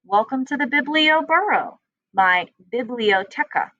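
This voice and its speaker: A woman's voice: sits high, animated, unhurried, sharp tone, no echo, muffled recording, voice pushed up, heavily acted. A woman voicing a kindly, welcoming male traveling librarian in a children's storybook read-aloud. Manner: inviting, encouraging.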